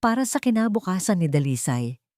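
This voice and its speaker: A gentle old father-in-law in a storybook drama, voiced by a woman narrator, speaks softly and with care. The pitch is low and the sound is dry. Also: very animated, fairly quick, no echo. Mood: gentle, cautious. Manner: soft, careful speech.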